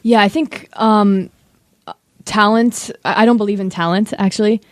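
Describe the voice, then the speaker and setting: A young American woman, a musician, answering interview questions in a hesitant, soft-spoken way. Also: medium pitch, fairly even intonation, normal speed, no room sound, clean studio sound. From USA. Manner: soft-spoken, hesitant, many ums and likes. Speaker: guest musician.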